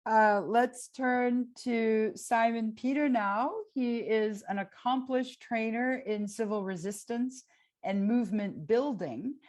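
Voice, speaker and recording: A woman's voice: medium pitch, darkish tone. A woman moderating a webinar, thanking one speaker and introducing the next. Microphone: video call.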